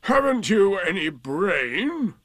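A man voicing a gloomy, sarcastic old donkey in a storybook, with a slow, bitter drawl. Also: on the high side, very animated, a little mellow, no room sound, studio quality, voice pushed up, heavily acted.

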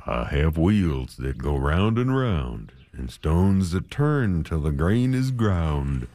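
A man voicing a slow, ponderous miller in a children's storybook, reciting in sing-song rhyme. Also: extremely low pitch, big pitch swings, dark tone, exaggerated voice.

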